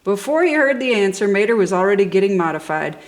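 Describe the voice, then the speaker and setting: A woman's voice: medium pitch, sing-song, fast talker. A woman reading a children's story aloud with enthusiasm and dramatic emphasis. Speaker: storybook reader. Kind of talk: expressive children's story narration.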